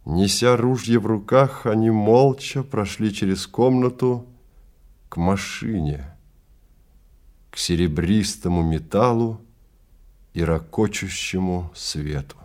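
A man narrating a science fiction story in a theatrical, deliberate style with weighty pauses. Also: on the low side, a little mellow. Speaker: narrator and reader of a dramatized story.

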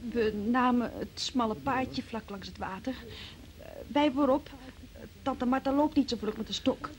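An actress playing an evasive, defensive young woman who gives a halting account under questioning in a Dutch radio play. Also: a little high, close to an everyday voice. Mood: hesitant recounting. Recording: noisy old radio play recording.

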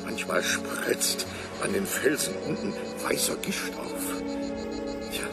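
Lively-toned, low-voiced musing man. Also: creaky, over loud music, full character voice. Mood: dreamy, appreciative. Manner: halting, savouring, descriptive. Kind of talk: radio play dialogue.

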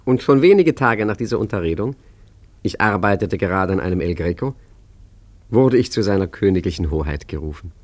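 A low male voice as a wily, urbane art forger in a radio play. He is obliging and deferential, with dry understatement. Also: expressive, a little fast, a little mellow. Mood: wry narrating. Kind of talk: German radio play acting and narration.

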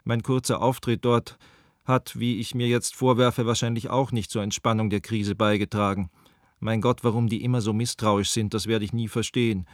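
A man's voice: on the low side, level tone, moderate pace, no room sound, studio quality, lightly acted. An actor playing an apologetic, wryly rueful man from Vienna, reading his letter to friends in a radio drama. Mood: rueful, explanatory.

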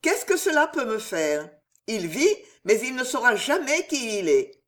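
Imperious queen, icy then raging, lifted pitch and volume; read by an audiobook narrator. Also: very animated, unhurried. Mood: cold. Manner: raises pitch and volume, snapping and roaring in anger. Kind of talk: audiobook character reading.

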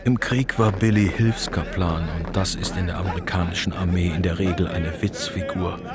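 A man narrating a literary radio drama in a measured, dry storytelling manner. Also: sits low, steady tone, a loud crowd. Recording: prominent music behind the voice.

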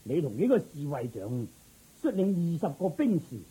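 An actor playing a commanding, doting king in a radio drama, speaking with deliberate authority. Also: fairly high pitch, big pitch swings, mellow, husky, full character voice, low-quality recording. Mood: commanding, tender. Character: commanding, doting king worried for his son.

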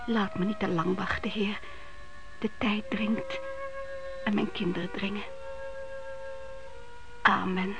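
A woman's voice: medium pitch, level tone, takes it slowly, creaky, long pauses, dull audio, over music. An actress playing a devout, stubborn widowed mother in a radio play, speaking in a solemn, imploring, emphatic way. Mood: anguished prayer. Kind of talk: radio drama acting.

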